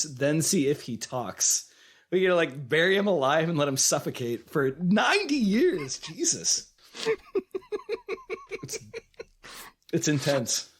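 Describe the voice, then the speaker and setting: A man's voice: fairly high pitch, fairly bright tone. Man with a cold, chatting casually. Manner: rambling, self-correcting, laughs often. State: has a cold, losing his voice. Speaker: podcast co-host. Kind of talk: casual chat.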